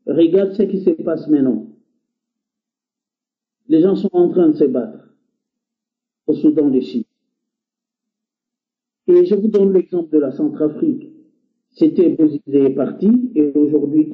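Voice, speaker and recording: A man's voice: a little high, measured pace, long pauses. A man from Congo, not a native French speaker, earnestly exhorting listeners on a call-in show with frequent hesitations. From Democratic Republic of the Congo. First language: Lingala or another Congolese language. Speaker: guest speaker. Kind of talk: impassioned call-in talk. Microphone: internet call. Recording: tinny phone-like line.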